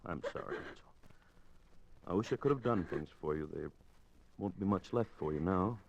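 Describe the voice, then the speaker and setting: A middle-aged condemned husband in an old 1950s radio play, with a deep voice. He speaks haltingly and gently, resigned to his fate and tender toward the woman he loves. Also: lively intonation, gravelly, highs cut off, noisy background. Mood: tender, sorrowful. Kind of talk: 1950s radio drama acting.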